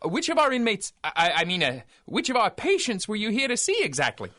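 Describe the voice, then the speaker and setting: A naive young asylum orderly in a vintage-style radio play. His voice is bright and very animated in pitch. He is deferential and earnest, prone to stammering. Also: brisk, full character voice. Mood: flustered. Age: young adult. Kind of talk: 1930s-style radio drama acting.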